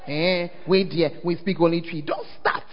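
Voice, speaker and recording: A man with a Ghanaian English accent preaching a lively sermon as pastor, often calling out to the congregation to check that they are listening. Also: on the high side, normal speed. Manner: call-and-response, often asks the crowd "are you there?". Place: church hall. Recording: dull, echoing church hall recording.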